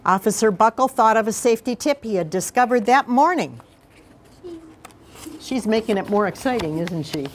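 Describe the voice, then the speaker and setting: A woman's voice: fairly high pitch, lively intonation, moderate pace, a little hiss or hum. A woman reads a picture book to a group of young children. She speaks informally and explains as she goes, checking in with simple questions. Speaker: mayor reading aloud to schoolchildren.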